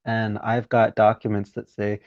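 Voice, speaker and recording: A man's voice: lowish voice, completely flat delivery, unhurried, no echo. A man from Romania interviewing a guest, talking in an enthusiastic, encouraging way. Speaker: interviewer, podcast host. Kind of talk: conversational interview. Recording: dull, dry lo-fi sound.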